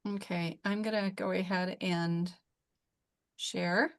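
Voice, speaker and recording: A woman with a fairly low voice gives an informal presentation to colleagues. She talks very slowly and hesitantly, leaving frequent pauses between short phrases. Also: fairly even intonation. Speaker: organization leader presenting on a call.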